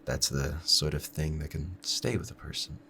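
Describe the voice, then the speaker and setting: A man acts out a smitten, dangerous male assassin in a romantic audio roleplay. The voice is low and creaky, measured and formal, confiding in the listener with calm pride that is both menacing and tender. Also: a little hiss or hum, exaggerated voice. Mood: tender, regretful.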